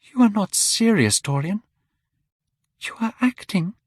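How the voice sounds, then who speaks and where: A man's voice: expressive, measured pace, breathy edge, no room sound, exaggerated voice. A man voicing a tender, desperate young woman who is a stage actress, in a dry audiobook recording. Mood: disbelieving. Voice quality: high, pleading.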